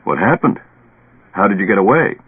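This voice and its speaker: An actor playing a skeptical, brash drinking buddy who is blunt, slangy and needling in a radio play. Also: male voice, on the low side, very animated, normal speed, breathy edge, phone-quality sound. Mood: probing. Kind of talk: old radio drama acting. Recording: band-limited old radio recording, echoey.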